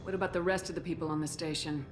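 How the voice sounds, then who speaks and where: A woman's voice: low-pitched, steady tone, racing, over music, natural voice. A woman playing a resolute, principled military commander in a video game, speaking in a firm, no-nonsense, questioning manner. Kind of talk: video game cutscene acting.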